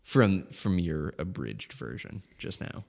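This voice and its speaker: A man's voice: low-pitched, very animated, slow pace, creaky, a little mellow. A man co-hosting a podcast, chatting casually in reaction, with a laid-back, dryly joking manner. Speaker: podcast co-host. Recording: long reverb, hall-like room.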